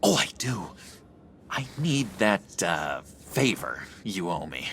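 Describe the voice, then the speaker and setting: An unhinged, singsong-swooping oddball. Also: male voice, low voice, sing-song, gravelly, slight background noise, heavily acted. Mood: wistful, insistent. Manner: halting, theatrical, swinging from hushed to loud. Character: unhinged, giddy, needy male ex-asylum patient named John. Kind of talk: video game character acting.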